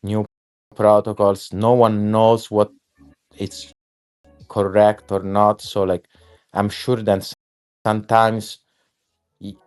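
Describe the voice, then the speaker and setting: Slow, rambling man with a low, smooth voice. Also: little pitch movement. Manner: rambling, profane, many fillers and restarts. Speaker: guest artist. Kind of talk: casual chat on a voice space.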